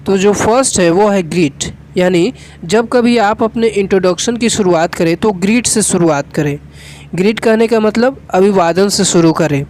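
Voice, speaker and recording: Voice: mid-range voice, moderate pace, a little mellow, slight background noise. A teacher with an Indian accent, giving an instructional lesson step by step in a methodical, repetitive way. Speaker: English teacher.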